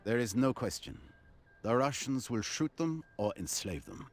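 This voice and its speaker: An actor playing a cool, self-assured army commander, formal and deliberate, in a video game. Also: male voice, medium pitch, expressive, soft background music, close to an everyday voice. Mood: confident, formal. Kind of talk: video game cutscene acting.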